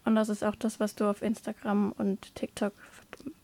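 A woman's voice: medium pitch, little pitch movement, moderate pace, a little mellow. A woman working as an interviewer, asking questions in a conversational style.

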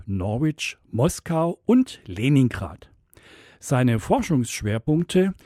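A man announces a campus radio show from a studio. His voice is dark and husky, and his pitch moves a lot. The room sound is dry and close. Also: no room sound. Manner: measured, announcing. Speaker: radio host. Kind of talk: scripted radio introduction.

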